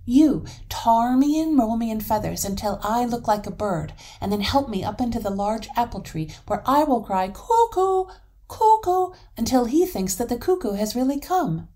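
A woman voicing a cunning old female troll, an eager schemer in a storybook, breathy and conspiratorial. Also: fairly high pitch, expressive, moderate pace, dark tone, voice pushed up, close to an everyday voice. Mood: scheming.

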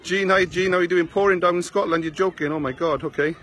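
A rambling, chatty man with a thick South Wales valleys accent, talking into a phone at arm's length outdoors. His voice sits a little high for a man and stays fairly flat in pitch. Faint outdoor noise hums behind him. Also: quick pace. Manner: rambling, chatty, greets viewers by name. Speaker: online marketing vlogger. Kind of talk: casual Facebook live chat. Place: sunny beach outdoors. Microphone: phone held at arm's length.

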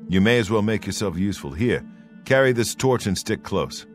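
A resolute imperial guard , played by a man, talks in a low, firm voice. He is dutiful and matter-of-fact, a fantasy game soldier with faint music behind him. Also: animated, moderate pace, close to an everyday voice. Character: dutiful, resolute male imperial bodyguard in armor.

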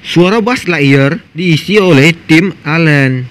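A man's voice: fairly high pitch, a little slow, fairly bright tone. A man with an Indonesian accent reading scripted narration for a video.

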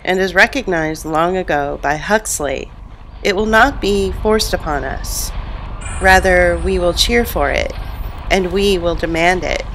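A woman reading a written text aloud in a measured, formal manner. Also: fairly low pitch, a bit bright, a little hiss or hum, low-quality recording. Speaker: reader.

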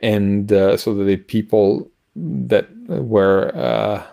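Middle-aged male podcast co-host, lowish creaky voice, hesitant and conversational. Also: dark tone. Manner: halting, hesitant delivery with frequent pauses. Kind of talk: casual news discussion over video call. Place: home room, indoors.

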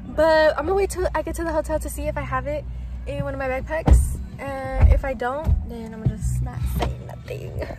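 A woman's voice: high-pitched, brisk, music in the background. A teenage young woman with an American accent chatting casually to her vlog, bubbly, slangy and exclamatory. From California, United States. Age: teens. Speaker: travel vlogger. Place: car, outdoor stops and hotel room. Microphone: phone at arm's length. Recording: noisy handheld phone, road and street ambience.

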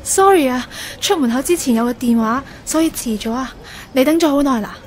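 Woman acting a soft, high-voiced young Hong Kong woman. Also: a little fast, roomy sound, a little hiss or hum, close to an everyday voice. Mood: apologetic. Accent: Hong Kong Cantonese. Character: gentle, apologetic young woman hiding her identity. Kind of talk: Cantonese radio drama acting.

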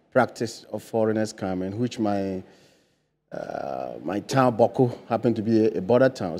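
A man's voice: fairly low pitch, mellow. A man in his forties with a Ghanaian accent, a political hopeful answering interview questions earnestly, with many fillers and restarts, in a TV studio on a lapel mic. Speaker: political candidate guest. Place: bright TV studio.

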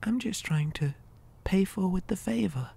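A woman playing a possessive living doll in an ASMR horror roleplay, gently coaxing with childlike menace in a breathy, hesitant whisper. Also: medium pitch, lively intonation. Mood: calmly menacing. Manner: soft, coaxing, slow and hesitant.

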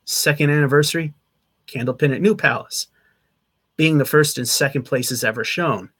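A man's voice: a little high, normal speed, a bit bright, breathy edge. A man in his thirties giving a casual, rambling vlog update from a small attic room, recorded on a webcam at desk distance. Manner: rambling, chatty, with fillers and restarts. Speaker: channel host. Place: small attic room with sloped wood-paneled ceiling.

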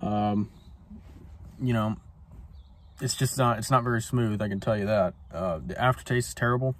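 A man's voice: on the low side, moderate pace. A man in his twenties casually reviewing a cigar while smoking it in a parked car, rambling with lots of ums, recorded on a phone camera. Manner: laid-back, rambling, many ums and uhs. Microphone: phone camera on dashboard.

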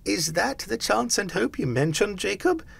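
High, quavering, timid old miser. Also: male voice, wildly swooping pitch, normal speed, creaky, breathy edge, full character voice. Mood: frightened, pleading. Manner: faltering, high-pitched, deferential. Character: timid, pleading old miser humbled by fear.

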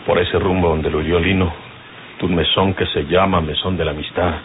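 An actor with a northern Mexican accent playing a firm, protective rural bandit boss in an old radio serial, with folksy, commanding speech. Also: male voice, sits low, steady tone, moderate pace, natural voice. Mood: grave, firm. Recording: muffled, noisy old radio serial recording.

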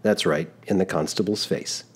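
A man's voice: low-pitched, normal speed, slightly rough. A man doing an overly polite smiling constable. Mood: courteous. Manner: polite, gently insistent. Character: smiling, exceedingly courteous male town constable.

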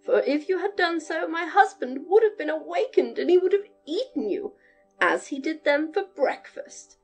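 A woman voicing a loud, bossy giantess who is lonely and overworked, in a storybook reading. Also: sits high, lively intonation, moderate pace, faint music behind, voice pushed up. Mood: coaxing. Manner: loud, domineering.

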